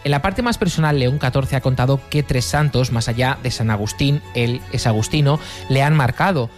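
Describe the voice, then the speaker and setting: A man's voice: mid-range voice, moderate pace. A man reading a scripted news report. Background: faint music.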